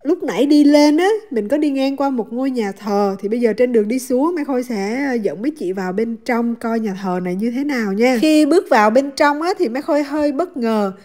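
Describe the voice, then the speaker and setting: Travel vlogger: woman, southern Vietnamese accent, slightly high pitch, dark-soft relaxed tone, chatty and affectionate casual narration. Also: brisk, hardly any pauses, faint music behind. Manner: chatty, addresses viewers affectionately.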